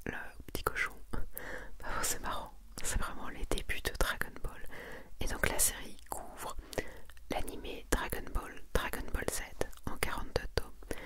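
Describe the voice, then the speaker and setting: A woman whispering an ASMR presentation in a breathy, intimate voice, moving between reading aloud and casual unhurried commentary. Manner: soft-spoken, intimate, unhurried. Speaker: ASMR host presenting a manga collection.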